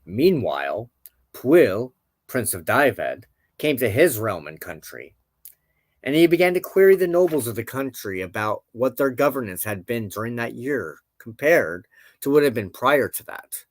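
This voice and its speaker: A man's voice: medium pitch, sing-song, unhurried, no echo. A man narrating an old legend aloud as a storyteller. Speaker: host, storyteller.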